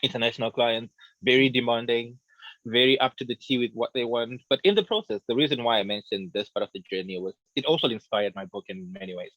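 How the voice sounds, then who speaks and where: A man in his thirties from Zimbabwe, a book author chatting as a guest in a radio interview, relaxed and quick to laugh, often repeating his words as he thinks. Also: mid-range voice, dry recording, low-quality recording. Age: 30s.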